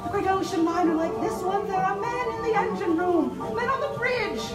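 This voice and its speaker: An actress playing a domineering, frightened, guilt-ridden murderess, pleading and imperious in a live radio horror play. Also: female voice, very high-pitched, a little fast, distant mic. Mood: insistent, frightened. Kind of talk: live 1930s-style radio horror acting. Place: live on stage in a large theater. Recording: echoey theater stage from afar, loud music.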